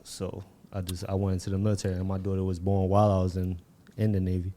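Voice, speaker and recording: A man's voice: low voice, monotone, fast, mellow, slight background noise. A man from the Bronx, a podcast guest, laid-back with short replies. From Bronx, New York. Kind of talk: casual interview chat.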